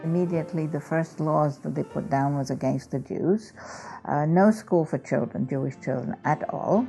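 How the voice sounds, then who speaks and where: A woman's voice: low-pitched, normal speed, vocal fry, dark tone, soft background music, poor audio. A woman in her eighties from Poland recounts wartime details earnestly, listing them one after another. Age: 80s. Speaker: Holocaust survivor, interviewee. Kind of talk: testimony interview.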